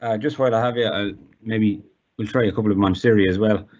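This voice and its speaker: A man's voice: lowish voice, steady tone, fast, a little mellow. Irish guy doing a casual tech demo, bit hesitant and informal, slightly dull lo-fi sound. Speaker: guest demonstrating accessible technology. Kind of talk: casual live demonstration.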